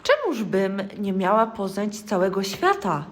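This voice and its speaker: A woman's voice: mid-range voice, sing-song, a little slow. A young schoolgirl playing a curious, dreamy female toad in a radio play, with theatrical exclamations. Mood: restless, longing. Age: child or young teen schoolgirl.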